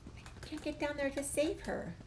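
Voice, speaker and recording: A woman's voice: high-pitched, fairly even intonation, normal speed, a little mellow, a little hiss or hum. A woman giving casual video game commentary, chatty and musing to herself as she plays. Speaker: gamer, channel host. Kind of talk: casual let's play commentary.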